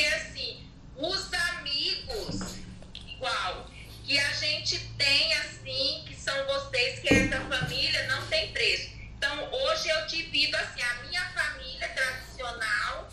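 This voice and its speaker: Slow, halting woman from Alagoas. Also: sits high, background noise. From Alagoas, Brazil. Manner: slow, halting, many pauses and fillers. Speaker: network marketing participant, guest. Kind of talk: hesitant conversational testimony. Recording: echoey distant mic with faint ambience.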